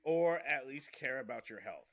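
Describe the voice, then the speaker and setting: A man with a bright, forward voice talks casually, as on a podcast. He rambles and circles back over his phrases until the point lands. The pitch sits in an ordinary male range. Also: lively intonation, normal speed. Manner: rambling, repeats himself to get his point out. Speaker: podcast host. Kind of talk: casual chat.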